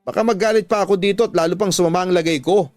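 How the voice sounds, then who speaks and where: Man as betrayed wife, radio drama, high-pitched, bitter, accusing, emphatic, music behind. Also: very fast, a little mellow, no room sound, studio quality, close to an everyday voice. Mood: angry, wounded. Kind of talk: Tagalog radio drama acting.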